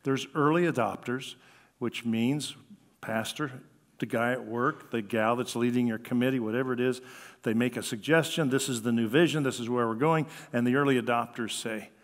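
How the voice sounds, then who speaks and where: An older American man preaching a conversational sermon, with an animated delivery that acts out quoted remarks. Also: medium pitch, moderate pace. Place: church sanctuary at a lectern, indoors. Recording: reverberant hall.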